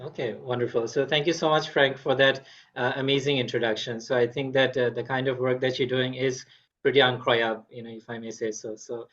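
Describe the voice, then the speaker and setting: A man hosts an interview podcast in a mid-pitched voice, polite and formal, with frequent fillers. The recording sounds slightly dull and muffled. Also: little pitch movement, brisk, fairly bright tone. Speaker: podcast host.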